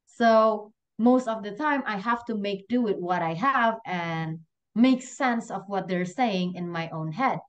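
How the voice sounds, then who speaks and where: A woman's voice: medium pitch, measured pace. A young woman with an Indonesian accent, hosting an online class in a casual, chatty and giggly way. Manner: chatty, giggly, self-deprecating. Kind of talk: casual chat. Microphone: video call.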